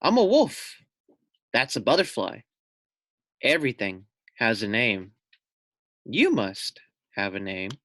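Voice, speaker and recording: Hungry young wolf, man reading in character, children's storybook, scheming, swooping pitch, slow delivery. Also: huge pitch swings, a bit bright, dry recording, studio quality, full character voice. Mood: impatient. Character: hungry young male wolf, plotting but gullible.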